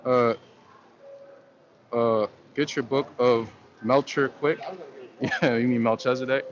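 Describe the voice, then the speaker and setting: A man's voice: medium pitch, steady tone, takes it slowly. A man hosting a casual livestream, rambling in a loose, slangy way as he answers viewer comments, full of fillers and restarts. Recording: dull lo-fi stream audio with faint noise.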